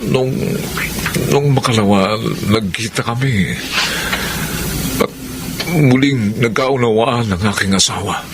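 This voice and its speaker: An actor playing a hesitant, apologetic boyfriend in a Filipino radio drama. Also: male voice, mid-range voice, slow, long pauses, noisy background, close to an everyday voice.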